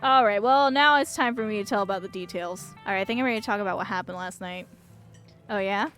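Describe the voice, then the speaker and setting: A woman streamer giving casual, informal game commentary and reading aloud in an expressive, reactive way. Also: mid-range voice, fast talker, soft background music.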